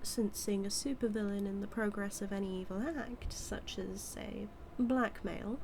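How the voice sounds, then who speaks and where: Woman to act British villainess for intimate audio drama; unhurried, smug, seductive teasing of a superhero rival, light ambient noise underneath. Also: mid-range voice, fairly even intonation, vocal fry, a little mellow. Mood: playfully menacing. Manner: teasing, sly, flirtatious, drawn-out delivery. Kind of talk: intimate audio roleplay monologue.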